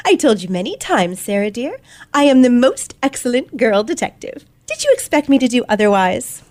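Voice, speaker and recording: A woman's voice: fairly high pitch, big pitch swings, moderate pace, darkish tone. A woman playing a self-assured, precocious teenage girl detective in an old-fashioned radio play, poised, smug and formal. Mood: self-satisfied, playful.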